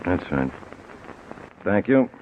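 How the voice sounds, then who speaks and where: An American actor playing a polite, shrewd homicide inspector with a matter-of-fact, probing manner in a 1940s radio drama. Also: male voice, low-pitched, very animated, slow pace, heavily acted. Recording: noisy, narrow-band 1940s radio recording.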